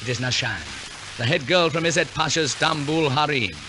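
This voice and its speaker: A man's voice: on the high side, normal speed, noisy background. A man narrating a scripted 1940s radio operetta as announcer, in a theatrical, arch and wry storytelling manner. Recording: old 1940s radio recording with orchestral music.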